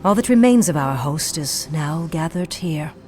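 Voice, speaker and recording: An actress playing a grave, proud female High Elf leader in a fantasy game, with stately, measured delivery. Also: expressive, darkish tone, faint music behind. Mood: grave, mournful. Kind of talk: fantasy game cutscene acting.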